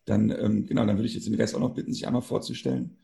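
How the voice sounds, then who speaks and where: A man's voice: lowish voice, steady tone, quick pace, vocal fry, warm tone, a little hiss or hum. A man hosting a casual podcast interview, informal and searching for his words as he goes. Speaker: podcast host.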